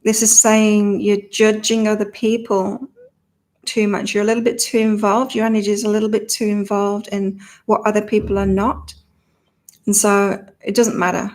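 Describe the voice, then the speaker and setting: Slightly croaky woman chatting on a livestream. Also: mid-range voice, flat delivery, moderate pace, a little mellow, rough recording. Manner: chatty, reads viewer comments aloud, laughs often. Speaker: psychic reader and channel host. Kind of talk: casual livestream chat with card readings.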